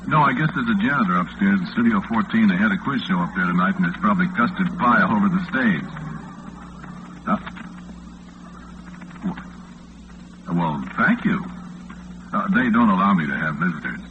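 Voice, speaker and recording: A man playing a chatty, folksy overnight radio disc jockey with an American accent, in a casual, halting old-time radio drama style. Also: low voice, animated. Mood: casual, modest. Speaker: late-night radio host. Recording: muffled, noisy vintage radio recording.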